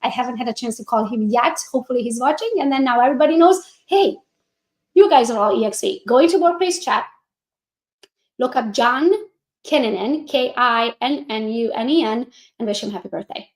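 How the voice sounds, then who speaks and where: High-voiced Hungarian-accented woman coach. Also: fairly quick, echoey room, rough recording. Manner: energetic, chatty, addresses viewers directly. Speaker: real estate coach. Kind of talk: coaching walkthrough on a live stream.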